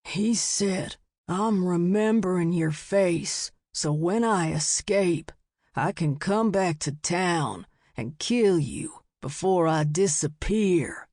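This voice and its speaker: A woman's voice: fairly low pitch, slow pace, a little mellow, no room sound, clean studio sound. A woman voicing a spooked man in an audiobook, telling things in a dramatic, emphatic way. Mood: ominous.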